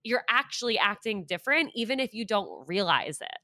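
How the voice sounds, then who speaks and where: A young woman hosts a podcast in a frank, emphatic way, and her tone is bright and forceful. The sound is close and dry, with no room echo at all. She talks at an everyday pitch for a woman. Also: big pitch swings, fairly quick. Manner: frank and emphatic, mocks bad advice. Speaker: podcast host. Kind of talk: casual interview chat.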